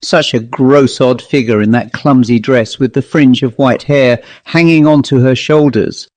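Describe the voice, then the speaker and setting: A man's voice: mid-range voice, unhurried, darkish tone, no echo. A man reading a classic ghost story aloud as an audiobook narrator, in a scripted literary style.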